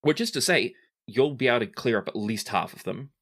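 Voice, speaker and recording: A man's voice: mid-range voice, lively intonation, a little fast, a bit bright. A man narrating a tabletop game as the game master.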